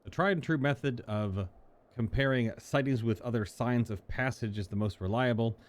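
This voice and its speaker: A man reading game dialogue as a learned, methodical tracker, in a measured, formal, explanatory way. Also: medium pitch, natural voice. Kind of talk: game dialogue read aloud.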